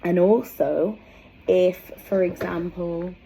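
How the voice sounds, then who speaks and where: Low, mellow woman explaining things. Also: steady tone, slow, vocal fry, slight background noise, poor audio. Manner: explanatory, conversational asides while demonstrating props. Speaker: educator presenting storytelling props. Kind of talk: informal instructional vlog.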